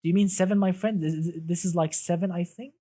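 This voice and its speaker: A man's voice: high voice, fairly even intonation, fast talker, dark tone. Chatty man whose first language is Arabic. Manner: chatty, many restarts, addresses chat as "my friend". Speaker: streamer. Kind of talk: casual live-stream commentary.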